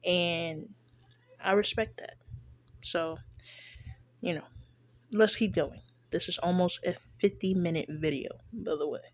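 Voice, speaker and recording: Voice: mid-range voice, unhurried, long pauses. A stuffy-nosed speaker giving casual, shy, hesitant commentary on a clip, with many fillers and restarts. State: stuffy, congested nose. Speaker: reaction video creator. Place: lives in Texas.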